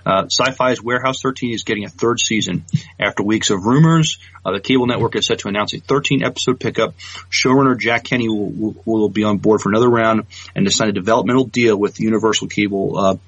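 A man with a low, husky voice co-hosts a news podcast. He speaks haltingly, repeating words as he goes, and the sound is dry and slightly muffled. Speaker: podcast co-host. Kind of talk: casual news chat.

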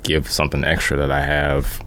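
A man in a casual, slangy conversation, speaking informally in halting phrases that often repeat. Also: very deep voice, completely flat delivery, fairly bright tone, slight background noise. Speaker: podcast guest. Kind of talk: casual chat.

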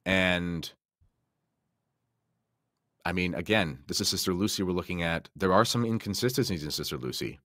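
Male podcast speaker, low pitch, dry close sound, conversational but emphatic explainer. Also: normal speed, long pauses, a bit bright, dry recording. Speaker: podcast guest discussing religion. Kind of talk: casual explanatory talk with slides and notes.

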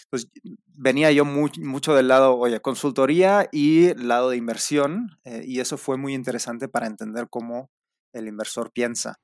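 A man from Spain in his forties talks at a middle pitch, his Spanish accent shaded with Mexican touches. He answers in a loose, conversational way, often pausing on fillers and restarting his phrases. The sound is dry and close. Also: no echo. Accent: Spanish with some Mexican influence. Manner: relaxed, many fillers and restarts. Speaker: startup founder guest.

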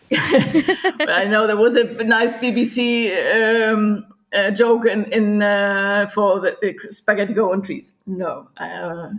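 A woman's voice: mid-range voice, fairly even intonation, slow pace. Middle-aged woman, German accent, tour guide, frequent laughs, hesitant fillers. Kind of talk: podcast interview guest. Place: home in Sicily, remote call.

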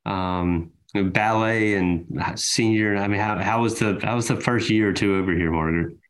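A man hosts the interview in a fairly low voice. He hesitates often, padding his questions with ums, and steers the guest with leading prompts. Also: fairly even intonation, normal speed. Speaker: interviewer, host. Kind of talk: casual interview.

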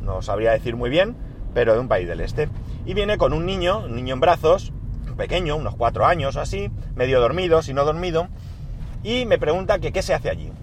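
A man's voice: on the high side, sing-song, fairly quick, a little hiss or hum. Man with a sore throat musing in his car. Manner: rambling, self-correcting, thinks aloud with many fillers. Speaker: podcast host recording a daily audio diary. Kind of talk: casual spoken diary monologue. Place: inside a car.

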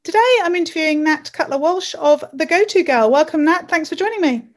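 A woman hosts her podcast with a high, bright-sounding voice. She reads her opening and then asks warm, encouraging questions of her guest. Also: expressive, a little fast, soft background music. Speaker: podcast host. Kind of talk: scripted intro and interview questions.

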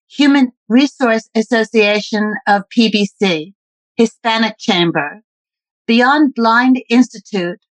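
Dry-sounding woman host, slightly high. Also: no echo, rough recording. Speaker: interview host. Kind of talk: reading an introduction, then asking questions.

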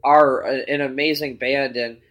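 A man's voice: medium pitch, steady tone, moderate pace, a bit bright. A man chatting on a podcast, rambling through memories with plenty of fillers. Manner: rambling, many fillers and restarts. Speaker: podcast co-host. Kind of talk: casual podcast chat.

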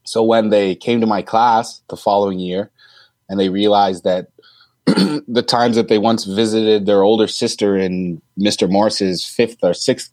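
Deep voiced teacher guy in his 30s, lots of ums, dry close sound. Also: a little mellow, no echo. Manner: thoughtful, hesitant, many ums and restarts. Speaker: teacher guest. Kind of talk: casual interview answers.

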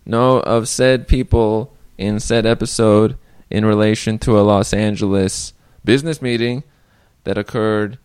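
A man hosts a relaxed interview and riffs jokingly between questions. His voice is low and smooth, with a crisp resonance. The sound is close and dry, with no room echo. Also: unhurried. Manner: joking, rambling. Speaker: podcast host. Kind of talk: casual interview chat.

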